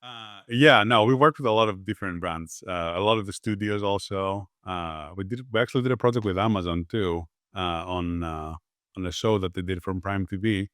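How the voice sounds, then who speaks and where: Low, smooth-voiced man from Greece. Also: moderate pace, dry recording, studio quality. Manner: relaxed, easygoing, with frequent fillers and restarts. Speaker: podcast guest, agency founder. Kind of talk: conversational interview answers.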